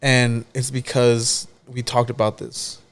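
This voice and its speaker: A man in his early thirties with a voice of middle pitch, speaking plainly and with conviction as a podcast guest. He comes back to key phrases for emphasis and keeps his tone direct. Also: flat delivery, slow, smooth. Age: 30s. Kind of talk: casual heartfelt chat.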